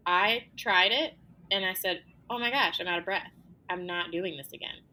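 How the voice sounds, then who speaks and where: A woman co-hosting a podcast in a casual, lively way, agreeing readily and telling small stories. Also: on the low side, poor audio. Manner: lively, quick to laugh and agree. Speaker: podcast co-host.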